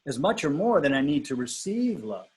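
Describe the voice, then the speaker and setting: A man talking reflectively in a casual conversation with a dating coach, pausing and restarting often. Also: on the high side, sing-song, breathy edge. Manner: reflective, halting with many restarts and fillers.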